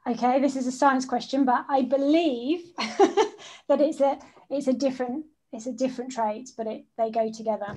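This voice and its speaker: A woman with a slightly high voice talks casually over a video call from a room at home. She hesitates often and laughs easily while answering questions. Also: steady tone, moderate pace, mellow. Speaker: guest speaker.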